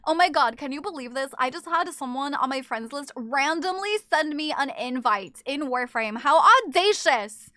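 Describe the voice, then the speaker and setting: Bright high-voiced woman streamer. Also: normal speed, hardly any pauses, no room sound. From not from Japan. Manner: animated, teasing, exclamatory. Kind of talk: casual live-stream chat.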